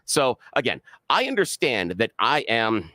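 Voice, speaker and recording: A man co-hosting a talk radio show, telling a story in an animated way and acting out the other people's voices. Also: mid-range voice, a little slow, no room sound. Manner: animated, acts out the quoted voices. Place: radio studio. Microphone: studio microphone.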